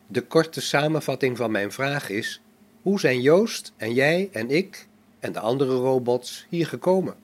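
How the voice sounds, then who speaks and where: A man playing a newly activated robot in a science fiction radio play, polite, inquisitive and formal, with measured, deliberate phrasing. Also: mid-range voice, lively intonation, lightly acted.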